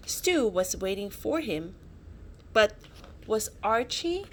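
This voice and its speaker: A woman reading a children's picture book aloud, dramatic and expressive, adding little asides as storyteller. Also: medium pitch. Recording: faint background noise.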